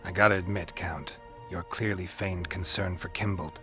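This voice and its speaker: An actor playing a stoic monster hunter in a video game, with an American accent and a gravelly, deadpan, terse delivery. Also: male voice, moderate pace, over music, natural voice. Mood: matter-of-fact, wry. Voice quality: low gravelly growl, breathy at phrase ends. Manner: deadpan, terse, dryly sardonic. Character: gruff, stoic male monster hunter for hire, dry and blunt.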